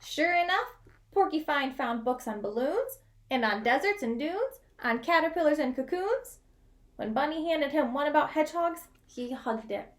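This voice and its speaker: Female children's storyteller, high-pitched, expressive picture-book read with drawn-out key words.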